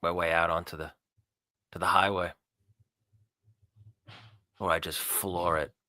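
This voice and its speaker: Low, slow-talking man improvising roleplay. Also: level tone, bright, forceful tone, no echo, clean studio sound. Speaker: player in a tabletop roleplaying game. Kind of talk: improvised first-person roleplay narration.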